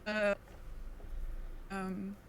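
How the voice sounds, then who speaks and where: A woman's voice: medium pitch, monotone, extremely slow, a little hiss or hum, poor audio. A woman hosting a podcast in casual, chatty conversation. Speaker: podcast host.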